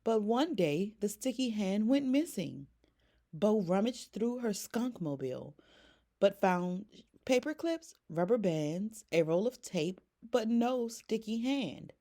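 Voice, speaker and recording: Slow, animated woman reading a children's story. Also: mid-range voice. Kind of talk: read-aloud bedtime storytelling.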